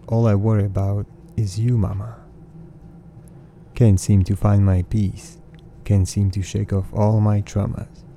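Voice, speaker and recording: A man in his thirties whose first language is Russian, hosting a podcast and reading his own lyrics in an earnest, measured recitation. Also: fairly low pitch, warm tone, slight background noise. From Russian-speaking background. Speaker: podcast host. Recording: faint music bed behind voice.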